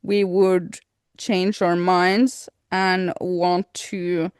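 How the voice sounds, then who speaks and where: A woman's voice: lowish voice, level tone, very slow, a little mellow, smooth, no echo, clean studio sound. A woman chatting casually as a podcast co-host in a playful, teasing manner. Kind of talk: casual chat.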